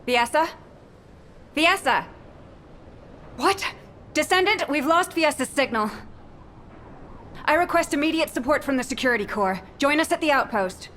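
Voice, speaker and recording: An earnest young woman operative from a video game. Her voice is on the high side, polite and eager, with a caring way of speaking. Faint ambience sits behind it. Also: expressive, unhurried, pauses often, fairly bright tone, slight background noise. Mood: alarmed.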